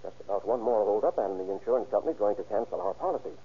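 Casting: fussing, earnest radio-drama manager, husky with lively pitch, dull vintage sound. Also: male voice, rapid-fire. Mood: worried. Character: worried, eager male ice plant manager. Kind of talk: 1930s radio drama acting. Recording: muffled 1930s radio recording.

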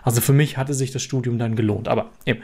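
A middle-aged German man speaking in clean, accent-free standard German from Hanover. His voice is mellow and a bit dark, sitting at a middle pitch. He talks informally to the viewer, stopping often to restart a phrase or fill a pause with "ähm.". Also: steady tone. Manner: conversational, frequent fillers and restarts. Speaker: software developer vlogger. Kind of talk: casual personal storytelling.

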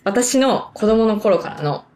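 A woman's voice: medium pitch, lively intonation, measured pace, darkish tone, echoey room. A woman with a Kansai accent in casual chat as a podcast co-host.

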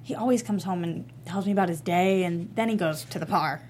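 An actress playing a warm, frank young woman in a naturalistic comedy table read. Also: on the low side, brisk, lightly acted. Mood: wry, uneasy. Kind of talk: naturalistic comedy script reading. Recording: faint background noise.

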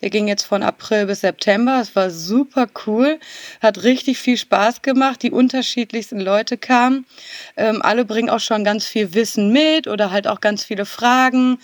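A woman's voice: on the high side, moderate pace, no room sound, studio quality. A woman in her thirties, a guest on a podcast, speaking over a video call and answering loosely with many "ähm" and easy laughs. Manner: relaxed, rambling, frequent "ähm", laughs easily. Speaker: guest, garden designer. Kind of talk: interview answers.

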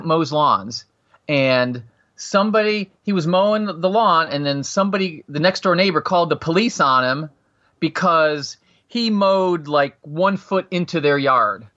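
A man in his forties with a bright, forceful voice, telling long rambling stories on a podcast. He restarts his sentences often and raises his voice as he gets into it. His audio comes through dull and lo-fi, like a remote call. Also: on the high side. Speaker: podcast guest, host of another show. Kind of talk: casual podcast chat, storytelling.

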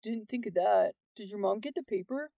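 A woman's voice: mid-range voice, moderate pace, creaky, mellow, no room sound, lightly acted. A woman narrator gives voice to a fifteen-year-old boy in an audiobook novel. He is laid-back and nonchalant. His delivery is casual, like everyday talk among classmates.

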